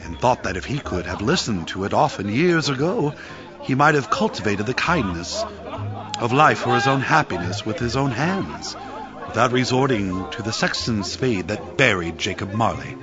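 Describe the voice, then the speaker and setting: A man's voice: mid-range voice, animated, normal speed. A man reading scripted narration for a dramatised classic story. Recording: dull audio with music behind.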